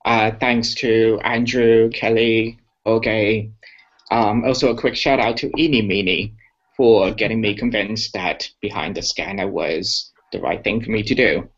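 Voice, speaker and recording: A man's voice: lowish voice, steady tone, measured pace, a bit bright. A young man from Singapore, a guest chatting in an upbeat, talkative way. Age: young adult. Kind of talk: casual interview chat. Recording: muffled lo-fi call audio.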